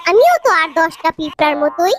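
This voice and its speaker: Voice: very high-pitched, very animated, a little fast, background noise. A performer voicing a lovestruck male ant suitor in a melodramatic cartoon romance. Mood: hurt, pleading.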